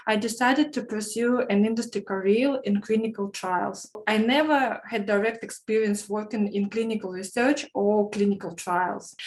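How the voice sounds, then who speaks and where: Measured woman. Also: a little high, poor audio. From Kazakhstan. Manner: careful, measured, slightly formal phrasing with small grammar slips. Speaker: program trainee sharing her career story. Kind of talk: prepared personal testimonial.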